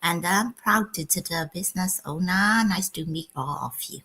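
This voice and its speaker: A woman whose first language is Burmese introduces herself on a live video call. She speaks carefully and formally. Her voice is bright and clear. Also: on the low side, moderate pace. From Myanmar. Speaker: panel guest.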